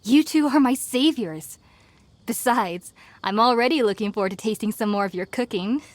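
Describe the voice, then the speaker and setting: A woman playing an earnest, gentle young researcher in a video game, explaining things in a thoughtful, articulate way. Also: fairly high pitch, normal speed, dry recording, close to an everyday voice. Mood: apologetic, friendly. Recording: music behind the voice.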